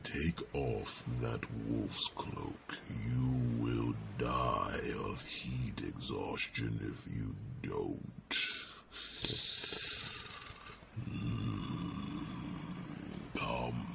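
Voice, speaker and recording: An enormous old dragon in an ASMR fantasy roleplay, extremely slow and ponderous, with an extremely gravelly growl. He is a lazy, hungry companion who rumbles and hums between phrases. There is clear noise behind the voice. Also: full character voice. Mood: relaxed, fond.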